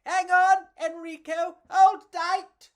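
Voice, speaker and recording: A woman voicing an excitable, adventurous little male cockroach in a storybook, with eager, theatrical exclamations. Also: very high voice, slow pace, voice pushed up. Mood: excited, eager.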